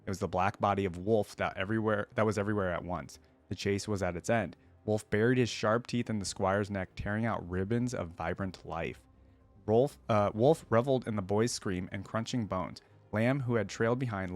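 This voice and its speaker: A man's voice: lowish voice, normal speed. A man hosting a reaction video, commenting casually and reading story passages aloud. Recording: roomy echo, faint music behind.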